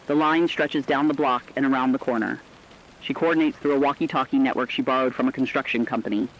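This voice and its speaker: A man's voice: medium pitch, fairly even intonation, fast talker, lightly acted. Man as an earnest radio correspondent, slightly dull sound. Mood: solemn, moved. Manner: earnest, solemn storytelling. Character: earnest male radio correspondent telling human stories of crisis. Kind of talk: scripted narrative radio reporting.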